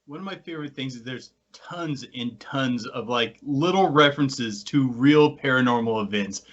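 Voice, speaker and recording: A man's voice: mid-range voice, normal speed, fairly bright tone, highs cut off. A man hosting a podcast in a casual, chatty style. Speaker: podcast host.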